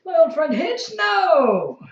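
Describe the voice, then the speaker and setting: A woman's voice: very high-pitched, big pitch swings, slow, reverberant, highs cut off, full character voice. A woman playing a grandiose, effusively polite but unrepentant revolutionary zealot in an audio drama, speaking in formal, stilted phrases. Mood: placating. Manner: formal, stilted, refers to self as "one".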